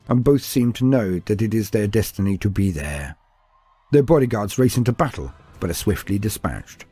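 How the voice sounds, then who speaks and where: A man's voice: fairly low pitch, warm tone, slightly rough. A man reading scripted fantasy lore as a narrator, in a theatrical, formal storytelling style.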